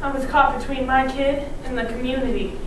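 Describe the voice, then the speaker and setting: A woman's voice: high-pitched, steady tone, fairly quick. A woman performing readers theatre, telling a story with dramatic, emphatic delivery. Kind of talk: theatrical ensemble storytelling on stage. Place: large indoor performance space, far mic. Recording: echoey hall from far off, noisy.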